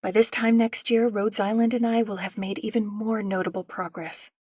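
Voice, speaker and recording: A woman's voice: medium pitch, level tone, normal speed, a little mellow, slightly rough, no echo, studio quality, lightly acted. A woman voicing a diligent, meticulous young planner in a video game, businesslike and precise. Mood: confident. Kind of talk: video game character voice lines.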